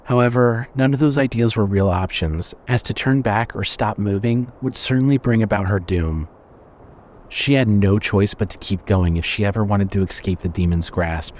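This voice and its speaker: A man's voice: fairly low pitch, moderate pace, a little mellow. A man reading scripted horror fiction as the narrator of an audio drama.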